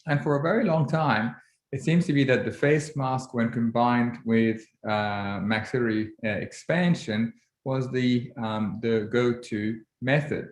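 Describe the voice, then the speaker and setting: A man in his forties, originally from Egypt, giving an orthodontics webinar lecture in a measured way with frequent ums and restarts. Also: mid-range voice, fairly even intonation. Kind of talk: relaxed presentation over slides. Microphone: computer mic on a video call. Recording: roomy video-call audio.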